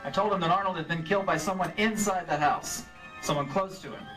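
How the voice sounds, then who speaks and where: A man playing a brisk, dogged insurance investigator with clipped, wry delivery in a live old-time radio drama, slightly distant from the mic. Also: high-pitched, over music, lightly acted. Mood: businesslike. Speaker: lead actor and narrator. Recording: live stage recording, off mic.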